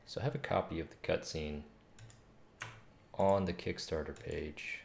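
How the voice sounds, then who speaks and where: Very deep, gravelly man thinking aloud. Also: fairly even intonation, measured pace. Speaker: game developer streaming. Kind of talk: casual think-aloud livestream while coding. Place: small home room, indoors. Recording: roomy, faint noise, keyboard clicks.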